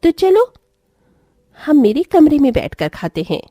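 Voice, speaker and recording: A woman's voice: sits high, sing-song, unhurried, warm tone, no echo. A woman voicing an impulsive young aunt and daughter-in-law, emphatic and dramatic, in a moral story. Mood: eager, coaxing. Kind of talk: acted moral story dialogue.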